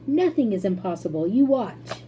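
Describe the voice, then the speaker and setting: A woman voicing a brisk, cheerfully confident eccentric male factory owner in a storybook, decisive and emphatic. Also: medium pitch, big pitch swings, mellow, slight background noise, natural voice, low-quality recording.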